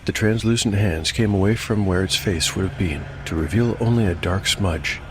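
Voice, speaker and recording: Low male storyteller, measured and literary, scripted dark fantasy audiodrama narration over clear music. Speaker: narrator and host.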